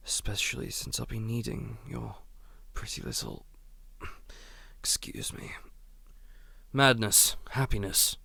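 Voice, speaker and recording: A man playing a menacing aristocratic Duke in an ASMR audio drama, courteous and quietly threatening, often whispering. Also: mid-range voice, vocal fry, husky, dry recording, exaggerated voice. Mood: cold. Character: menacing aristocratic male Duke, manor lord.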